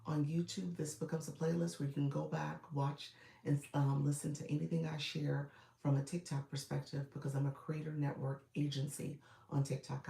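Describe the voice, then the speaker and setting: A woman coaching viewers on live streaming in a casual, chatty way, congested from a cold with a froggy voice, often clearing her throat and using fillers. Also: deep voice, warm tone. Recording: roomy echo.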